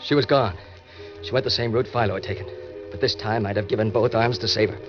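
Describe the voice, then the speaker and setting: A man's voice: lowish voice, steady tone, fast talker, over music, lightly acted. An American actor playing a desperate, tough-talking male impostor who narrates his crime in melodramatic 1940s radio style. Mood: shaken. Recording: dull, lo-fi old radio recording.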